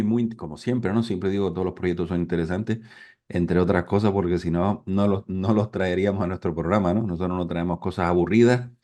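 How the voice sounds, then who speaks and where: A man's voice: low voice, warm tone. A man hosting an interview program, enthusiastic, filling the talk with affirmations and tag questions. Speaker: program host.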